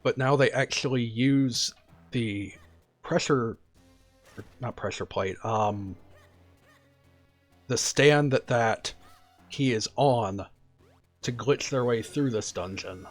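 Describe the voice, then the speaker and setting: A man streaming a video game, talking casually and quietly about what he's doing in a relaxed, chatty way. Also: mid-range voice, takes it slowly, long pauses, faint music behind. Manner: soft-spoken, relaxed and chatty. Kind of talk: casual gameplay commentary.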